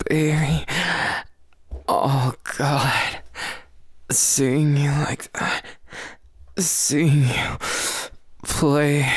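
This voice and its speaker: A man's voice: mid-range voice, no echo. A man speaking in an intimate erotic monologue, murmuring breathily and often slipping into whispers and moans.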